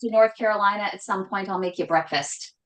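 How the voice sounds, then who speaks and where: A woman hosting a casual live cooking show from her home kitchen, talking loudly and emphatically with a voice that carries. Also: mid-range voice, brisk, reverberant. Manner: loud voice that carries, chatty and emphatic. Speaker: host cooking on a live stream.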